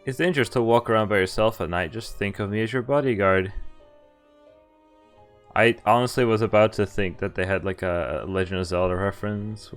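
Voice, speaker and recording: A young man with a fairly low voice streams a visual novel. He reads the story text aloud, then drifts into loose, joking asides with some hesitation. Game music plays faintly behind him. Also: a little fast, clean tone. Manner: casual, jokey, hesitant asides. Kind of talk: casual live stream commentary mixed with read-aloud narration.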